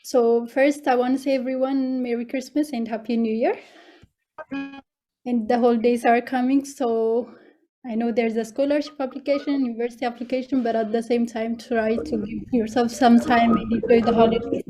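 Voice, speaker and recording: Young ethiopian woman doing an informal online talk, soft mellow voice, pretty flat intonation, bit hesitant. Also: fairly high pitch, normal speed, soft background music. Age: young adult. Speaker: scholarship student presenter. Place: online video meeting.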